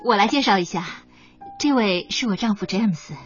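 An actress playing a composed, assertive ex-wife in a radio drama, pointed and probing in manner. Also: female voice, medium pitch, moderate pace, natural voice. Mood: polite. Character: assertive ex-wife returned from abroad, pointedly solicitous. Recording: dull lo-fi, music behind.

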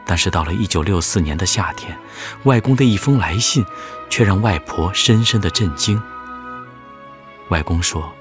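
A fond grandson narrates a radio drama with reverence. His voice is low and moves expressively, with music clearly behind it. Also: expressive, normal speed. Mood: reverent, solemn.

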